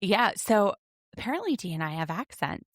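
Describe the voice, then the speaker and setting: A woman's voice: mid-range voice, sing-song, no room sound, clean studio sound. A woman with a Southern accent, co-hosting a podcast in a chatty, sassy recap style. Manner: chatty, sassy, quick jokes and laughs. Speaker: podcast co-host, attorney. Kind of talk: casual recap chat.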